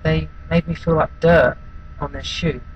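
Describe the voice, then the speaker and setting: Casting: actor as withdrawn bullied outcast, male, halting and subdued dramatic monologue, faintly noisy amateur recording. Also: on the high side, steady tone, lo-fi sound, natural voice. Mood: subdued, pained. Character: withdrawn, wounded outcast recalling being bullied at school.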